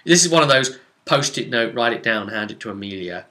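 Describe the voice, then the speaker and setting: A man with a bright, forceful voice tells the story of a tabletop game he ran. His delivery is casual and rambling, with dramatic descriptions of the action, at a middling pitch. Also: animated, a little fast. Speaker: game master recapping a tabletop role-playing session.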